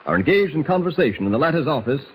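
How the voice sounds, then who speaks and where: A man's voice: on the high side, big pitch swings, very fast. A man narrating a 1940s radio serial as its announcer, formal, dramatic and emphatic to build suspense. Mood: expository. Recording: dull, slightly noisy 1940s radio recording.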